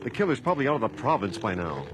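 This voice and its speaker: An actor playing an impatient, self-important mayor in a radio drama, grumbling and blustery. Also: male voice, medium pitch, big pitch swings, rapid-fire, noisy background, natural voice. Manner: blustery, complaining.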